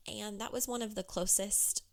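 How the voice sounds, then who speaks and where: A woman business coach talking candidly on her solo podcast, with a hoarse, froggy voice. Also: medium pitch, fairly even intonation, normal speed. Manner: candid, conversational, with fillers.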